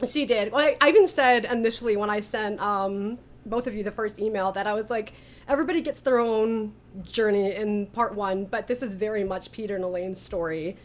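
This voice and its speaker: A woman's voice: mid-range voice, a little fast. A woman hosting a casual interview, chatty and giggly as she talks as a writer. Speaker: writer, director and interview host. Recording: faint background noise.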